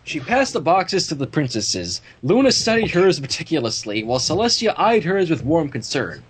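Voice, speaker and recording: A reader narrating fan fiction aloud in an expressive storytelling style. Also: mid-range voice, moderate pace, a little hiss or hum, low-quality recording.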